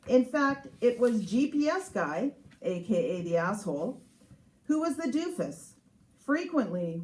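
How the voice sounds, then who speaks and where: A woman's voice: mid-range voice, darkish tone, poor audio. A woman reads her humorous memoir aloud as its author, in a sarcastic, emphatic, mock-exasperated manner. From Canada. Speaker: author reading from own book. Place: live reading at a showroom.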